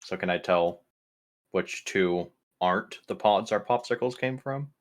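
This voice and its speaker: Man, tabletop game player, deep voice, casual talk. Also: a little slow.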